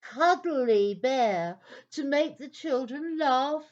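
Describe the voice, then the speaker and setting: A woman playing a patient storyteller in a children's audio play, narrating in a deliberate, expressive, theatrical way. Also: high voice, dull audio. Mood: exasperated, teasing. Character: patient female storyteller, gently exasperated with her characters.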